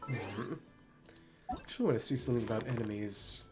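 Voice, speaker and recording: A man's voice: on the low side, a little fast, music in the background. A man streaming a game, musing to himself in short asides and reading dialogue aloud in a casual way. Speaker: gaming streamer.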